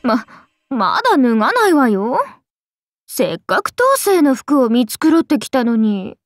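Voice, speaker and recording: A woman's voice: high-pitched, sing-song, unhurried, no room sound, faint music behind. A woman voicing a playful, teasing, carefree oni goddess in an anime game, with a casual, airy drawl. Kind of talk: Japanese anime game voice acting.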